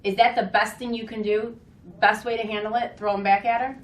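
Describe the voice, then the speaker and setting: A woman's voice: a little high, level tone, a little fast, reverberant, lightly acted. A woman playing a firm school staff member who mediates a student dispute with pointed questions and instructions in an amateur dramatization. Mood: firm, lecturing.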